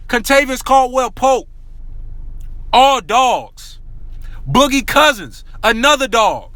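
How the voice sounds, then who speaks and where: A man giving an excited, slangy basketball rant to his viewers, repeating himself often and saying he is losing his voice. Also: extremely high pitch, slow pace, no room sound. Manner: excitable, repetitive, slangy, addresses viewers as y'all. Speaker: sports talk vlogger. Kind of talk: hyped casual basketball rant.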